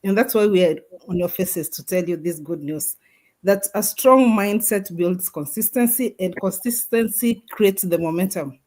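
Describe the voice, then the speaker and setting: A woman's voice: medium pitch, moderate pace, a little mellow. A woman guest on a video call, speaking earnestly and emphatically, like giving testimony. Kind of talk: motivational testimony.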